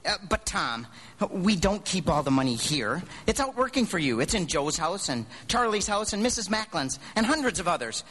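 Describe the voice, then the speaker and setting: Man playing an earnest, high-voiced young loan manager. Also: sing-song, normal speed, fairly bright tone, heavily acted. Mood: impassioned pleading. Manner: pleading, stammering, impassioned. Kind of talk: live radio drama acting. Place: theatre stage.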